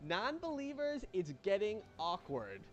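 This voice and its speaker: A man streaming a game lifts his voice high and swoops it around as he jokes about item prices. His playful, mock-dramatic talk comes from a little further off the mic, and he is a little drunk. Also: huge pitch swings, measured pace. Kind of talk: excited sing-song commentary on game prices.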